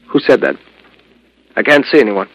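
An actor playing a secretive, defensive doctor in an old radio drama, with a gravelly voice. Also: male voice, medium pitch, big pitch swings, moderate pace. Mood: uneasy, defensive. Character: secretive male doctor and stepfather, defensive under suspicion. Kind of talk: 1940s radio drama acting. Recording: dull vintage radio recording.

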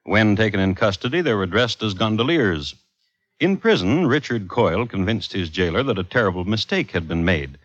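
Dry crime historian host, lowish animated voice, scripted vintage radio narration, dull recording. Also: male voice, dry recording.

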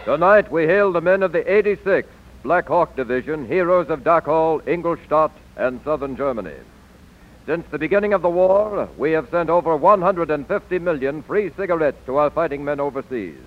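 A man's voice: fairly high pitch, normal speed. Tinny-sounding American man, solemn radio announcer. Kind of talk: 1940s formal scripted tribute. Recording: tinny narrow-band old radio.

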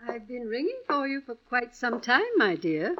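An actress playing a kindly, wistful elderly widow in an old-time radio drama, gentle and hesitant, with fond endearments. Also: female voice, on the high side, big pitch swings, moderate pace. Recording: dull vintage radio recording.

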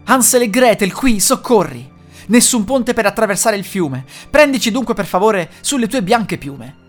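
A woman voicing a brave, clever young girl who speaks in a bright, eager way. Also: medium pitch, lively intonation, normal speed, faint music behind, lightly acted. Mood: earnest.

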